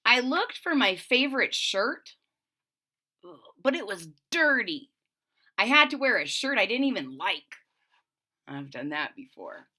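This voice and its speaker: A woman reading a picture book aloud to children, expressive and chatty, adding friendly asides between the pages. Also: a little high, unhurried, long pauses. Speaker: storybook reader.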